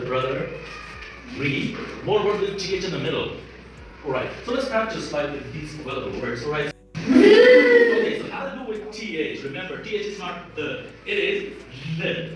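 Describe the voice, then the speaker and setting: Male pronunciation teacher, lively and high-pitched, recorded far from the mic with a noisy class around him. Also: very echoey. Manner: animated, encouraging, drilling sounds by repetition. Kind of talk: interactive classroom lesson. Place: indoor classroom. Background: class of students, room noise.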